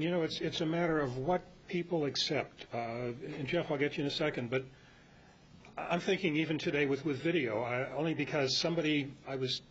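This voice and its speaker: An American man leading an informal club talk, rambling and anecdotal as he presents to the group. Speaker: meeting presenter. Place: club meeting room. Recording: dull lo-fi meeting recording with rumbly noise.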